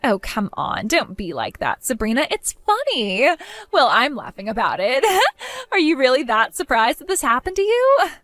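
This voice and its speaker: A woman's voice: huge pitch swings, moderate pace, fairly bright tone, no echo, full character voice. Woman voicing a spiteful, whiny young brat. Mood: mocking. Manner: mocking, whiny, shrill.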